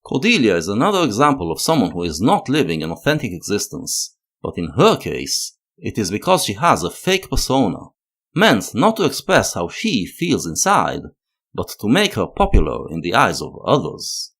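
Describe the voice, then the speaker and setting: A man narrating a scripted analytical video essay in a measured, expressive way. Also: on the low side.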